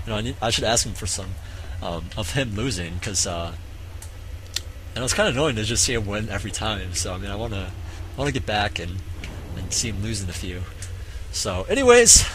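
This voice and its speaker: A man casually commentating a strategy game match, halting with many fillers, his voice going hoarse. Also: lowish voice, fairly bright tone, a little hiss or hum, rough recording. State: voice getting hoarse. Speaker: game caster.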